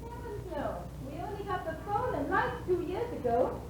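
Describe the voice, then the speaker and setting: A high, playful young bride. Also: female voice, very animated, normal speed. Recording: hissy, dull 1950s radio broadcast.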